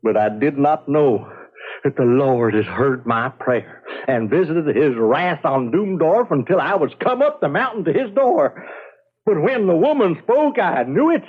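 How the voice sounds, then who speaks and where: Fiery frontier preacher, muffled radio sound. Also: male voice, on the high side, sing-song, measured pace, breathy edge, heavily acted. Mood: solemn, zealous. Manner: declamatory, archaic biblical phrasing. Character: fervent male frontier preacher quoting scripture, righteous and unrepentant. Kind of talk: old-time radio drama acting.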